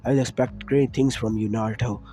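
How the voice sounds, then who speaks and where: A man voicing a kindly female fox spirit in a read-aloud fan story, speaking low and soft. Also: fairly even intonation, brisk, faint music behind, close to an everyday voice. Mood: gentle.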